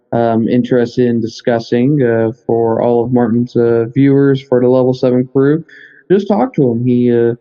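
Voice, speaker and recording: Man on a dull lo-fi computer mic. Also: medium pitch. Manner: halting, thinking aloud as they go. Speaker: podcast guest, anime fan expert. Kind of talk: casual podcast discussion. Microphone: computer mic, remote recording. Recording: dull, lo-fi computer call audio.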